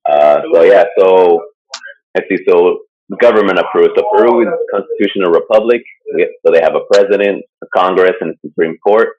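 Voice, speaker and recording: A man with a very low, deep voice chats loosely about a topic he's presenting, leaning on "like" and "uh" and chuckling often. The recording is dull and lo-fi. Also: brisk. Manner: rambling, full of "like" and "uh" fillers, chuckles often. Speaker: podcast co-host presenting a topic. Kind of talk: casual chat. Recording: dull lo-fi sound.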